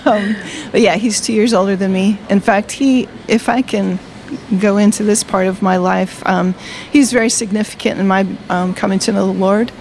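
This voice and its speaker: A woman's voice: medium pitch, fairly even intonation, normal speed, a little mellow, slight background noise. A woman in her sixties from Delaware, a retired teacher, telling her faith story cheerfully and laughing often in a coffee shop. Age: 60s. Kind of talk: personal testimony in conversation.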